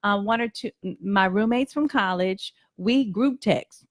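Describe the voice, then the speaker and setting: A woman radio host talking frankly at a middle pitch and leaning hard on her points. She repeats phrases to press them home. The sound is close and dry, with no room around it. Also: measured pace, no echo. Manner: earnest, emphatic, repeats phrases for stress. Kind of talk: candid casual chat. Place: radio studio.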